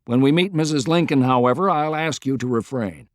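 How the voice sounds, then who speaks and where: A man's voice: medium pitch, fairly quick, no echo, studio quality, lightly acted. A man voicing a plain-spoken, weary male American president with a frank, folksy manner, in an audiobook. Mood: relaxed.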